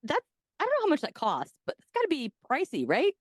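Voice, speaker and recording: A bawdy woman co-host chats through a story on a podcast, her pitch swinging widely as she jokes and laughs. Her voice sits fairly high, and the recording is dry and close. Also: huge pitch swings, fast, no echo. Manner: bawdy, joking, laughs often. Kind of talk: casual podcast chat retelling a story.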